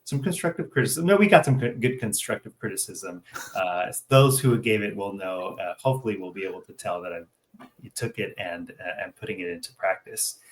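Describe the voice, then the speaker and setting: An American man co-hosting in casual, unscripted chat, speaking in a halting, rambling, joking way. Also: fairly low pitch, a little hiss or hum, rough recording. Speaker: co-host.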